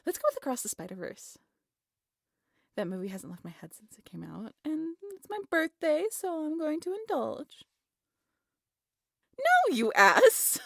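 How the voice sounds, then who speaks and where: Casting: actress as affectionate, teasing young woman, anxious at heart, for intimate roleplay audio drama; high, very expressive voice, dry close sound. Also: dry recording, exaggerated voice.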